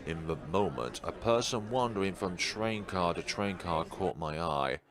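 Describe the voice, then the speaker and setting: A man's voice: low voice, animated, a little slow, fairly bright tone. A man hosting a let's play, reading a visual novel aloud with casual commentary. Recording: music playing behind the voice.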